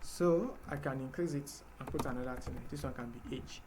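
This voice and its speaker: A man's voice: medium pitch, fairly quick, background noise. A man teaching a live programming class, explaining patiently step by step with fillers. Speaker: programming instructor.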